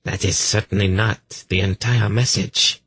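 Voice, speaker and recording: A man voicing a cruel, imperious and suspicious high priestess in a fantasy audiobook, with cold, menacing, sarcastic delivery. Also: fairly even intonation, unhurried, a bit bright, husky, no room sound. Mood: accusing, sharp. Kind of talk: fantasy audiobook character acting.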